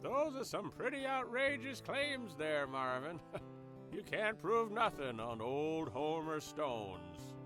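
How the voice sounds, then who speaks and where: A man's voice: fairly high pitch, big pitch swings, slow, music in the background. A man playing a smug, corrupt small-town lawman with a folksy, taunting drawl in an audio drama. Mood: smug, lazy. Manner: drawling, folksy, taunting, calls himself by name.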